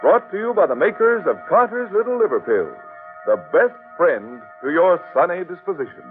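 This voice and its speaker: A man's voice: high voice, sing-song, normal speed, soft background music. A tinny, narrow-band old broadcast carries an American male radio announcer. He reads scripted copy in a formal, emphatic and polished 1940s manner.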